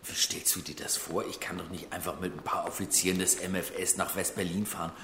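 Gruff low-voiced secret police general, echoey. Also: male voice, flat delivery, fairly quick. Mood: stern, dismissive. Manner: stern, dismissive, commanding. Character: gruff, domineering male East German secret police general. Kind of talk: radio crime drama dialogue. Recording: roomy echo, clear background noise.

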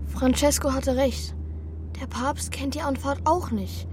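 A man's voice: extremely high pitch, fairly even intonation, unhurried, noisy background. A young boy actor playing a thoughtful, determined boy who narrates his adventure in a German children's radio play. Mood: earnest, reassuring. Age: child or young teen boy. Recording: music behind the voice.

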